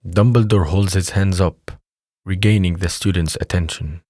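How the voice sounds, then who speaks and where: Low deep guy narrating an audio drama script, close dry recording. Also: steady tone, moderate pace, warm tone, no room sound.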